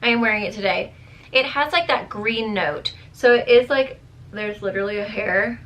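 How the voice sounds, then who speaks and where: Chatty woman with a bright, strained voice. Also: medium pitch, moderate pace. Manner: chatty, rambling, many fillers. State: voice strained, going hoarse. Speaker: beauty vlogger. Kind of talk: casual product review to camera.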